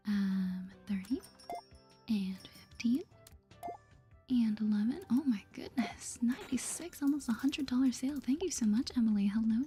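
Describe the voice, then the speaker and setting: A woman speaks in a soft, mellow whisper, slightly high in pitch, in an ASMR gaming style. Her manner is gentle and politely chirpy, like a friendly shopkeeper chatting with customers. Soft music plays behind her. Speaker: gaming video host. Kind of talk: soft-spoken whispered ASMR game playthrough.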